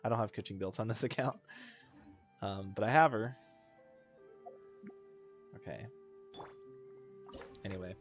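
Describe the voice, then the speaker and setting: A man's voice: lowish voice, measured pace, long pauses, soft background music. A man giving casual gameplay commentary, rambling and thinking aloud as he plays. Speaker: video game streamer.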